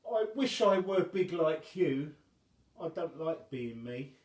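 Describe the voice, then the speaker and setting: A man's voice: fairly high pitch, expressive, slow, darkish tone, echoey room, far from the mic, voice pushed up. A man voicing a small, sad farm boy in a children's storybook, speaking in a plaintive, emphatic way.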